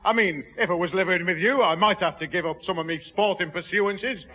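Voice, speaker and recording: A pompous, jovial Northern English working man acted by a man, high in pitch, blusters through grand words he gets wrong. It is sixties radio comedy, muffled and ringing with long reverb. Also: a little fast, natural voice. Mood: jovial, boastful. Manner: blustering, malapropism-prone. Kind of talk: 1960s radio comedy acting. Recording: muffled, echoing, noisy old radio broadcast.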